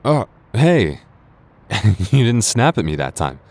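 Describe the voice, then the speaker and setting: A man's voice: mid-range voice, sing-song, slow, a little mellow. A man playing a teasing, easily embarrassed childhood friend confessing love in a boyfriend roleplay, stammering and hesitant. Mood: teasing, flustered. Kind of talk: boyfriend roleplay audio drama.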